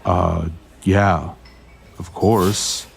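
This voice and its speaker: Very deep, slow, guarded investigator. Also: male voice, fairly even intonation, vocal fry, slight background noise, exaggerated voice. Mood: awkward, gentle. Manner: terse, deliberate. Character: grim, protective male investigator, slow and guarded. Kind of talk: audio drama dialogue.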